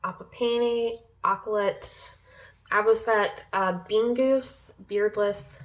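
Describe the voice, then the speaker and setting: Woman slowly reading a list, halting. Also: mid-range voice, fairly even intonation. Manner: halting, with many ums and uhs, stumbling over names; slow, careful reading pace. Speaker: pagan lifestyle vlogger. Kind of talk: reading a long list aloud. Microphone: laptop in front of her.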